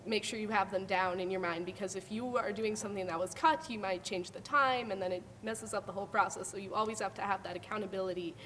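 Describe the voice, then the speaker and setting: A woman's voice: medium pitch, fast, few pauses, a bit bright. Teen female drama student, casual interview talk, a little distant from the mic. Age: teens. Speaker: student actor. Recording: distant mic, some background noise.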